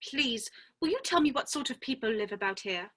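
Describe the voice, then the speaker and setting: A high, bright-voiced storybook girl acted by a woman, polite and earnest. The speech is very animated, prim and curious, with a fretful edge. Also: a little fast. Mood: questioning, earnest. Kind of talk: dramatic reading of a children's classic.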